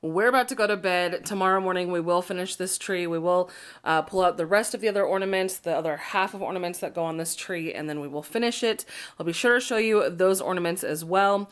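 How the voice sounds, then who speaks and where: A young woman in her twenties chatting casually on a home vlog, her voice slightly raspy from dust, with a chatty, upbeat manner. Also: lowish voice, brisk. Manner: chatty, upbeat, filler-heavy. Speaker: vlogger. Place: home, indoors. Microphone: camera propped up nearby.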